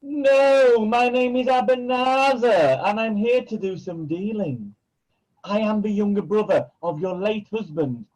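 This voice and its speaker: An actor playing a scheming pantomime villain who poses as a kindly uncle, speaking in theatrical, wheedling rhyme. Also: male voice, high-pitched, unhurried, a little mellow, low-quality recording. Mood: oily, effusive. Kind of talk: rhyming pantomime acting.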